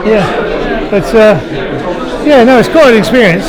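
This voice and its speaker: A man's voice: sits high, normal speed, a loud crowd. A man chatting casually in an interview, rambling a little, with wry humour. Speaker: villager who sat for a portrait. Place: large busy indoor public space. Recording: loud crowded indoor hall.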